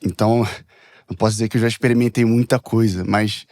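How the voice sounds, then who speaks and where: Young carioca guy, brazilian accent, lowish voice, dry sound, kinda shy and soft. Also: fairly even intonation, fast, no echo. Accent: Brazilian, Rio de Janeiro with Espírito Santo touches. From Rio de Janeiro, Brazil. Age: young adult. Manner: reserved, soft-spoken. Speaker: guest, worship musician. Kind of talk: casual chat.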